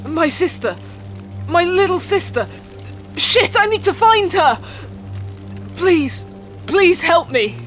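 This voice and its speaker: A man's voice: very high voice, big pitch swings, takes it slowly, pauses often, a bit bright, soft background music, exaggerated voice. A man playing a frantic, blunt, sweary teenage boy in an audio drama. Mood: desperate. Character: panicked, sweary British teenage boy searching for sister.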